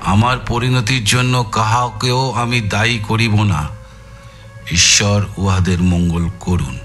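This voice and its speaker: A man's voice: lowish voice, fairly even intonation, takes it slowly, fairly bright tone, faint music behind. A man playing a shrewd, teasing older amateur detective in an audio drama, drawling and musing with thoughtful hums. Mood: reading gravely. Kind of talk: dramatised audio story acting.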